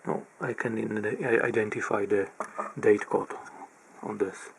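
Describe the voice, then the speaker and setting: Slow, halting low-voiced man. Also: steady tone, vocal fry, a little hiss or hum, poor audio. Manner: halting, pauses mid-phrase while pointing at parts. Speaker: electronics repair hobbyist. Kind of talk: slow, methodical narrated teardown.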